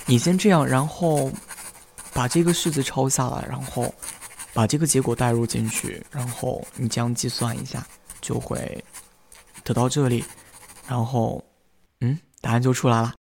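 A man playing a kind, patient young student in a radio drama, speaking in a gentle, hesitant, reassuring way. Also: mid-range voice, steady tone, measured pace, vocal fry, long pauses, slight background noise. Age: young adult.

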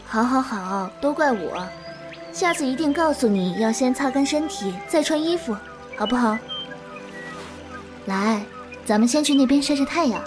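High-voiced, gentle young swordswoman disciple acted by a woman. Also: lively intonation, normal speed, long pauses, music in the background. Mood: gentle, patient. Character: gentle caring young woman disciple, bashful and easily flustered. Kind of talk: Chinese wuxia radio drama acting.

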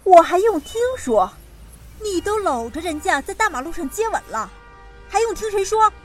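A woman playing a wealthy middle-aged mother, bossy and brisk but doting, in an audio drama. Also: very high voice, very animated, faint music behind. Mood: scolding, impatient.

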